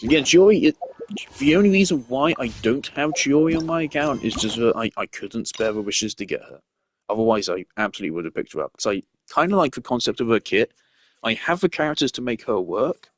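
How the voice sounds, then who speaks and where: A man's voice: mid-range voice, lively intonation, a little fast, a little hiss or hum. A man giving casual gameplay commentary as a streamer, rambling and thinking aloud. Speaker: game streamer.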